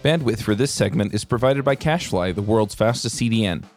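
A man reading a scripted sponsor announcement in a smooth, even, promotional way. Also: on the low side, brisk, soft background music. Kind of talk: scripted ad read.

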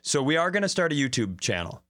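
A man's voice: a little high, big pitch swings, fast talker, bright, forceful tone. American man, rambling comic podcast co-host. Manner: joking, playfully grumbling, rambling. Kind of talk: casual comedic banter.

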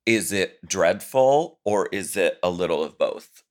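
A man's voice: low voice, animated, measured pace. A man co-hosting a podcast, gushing over his guest and asking rambling questions. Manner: enthusiastic, gushing, hesitant questions. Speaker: podcast co-host. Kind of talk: casual podcast chat.

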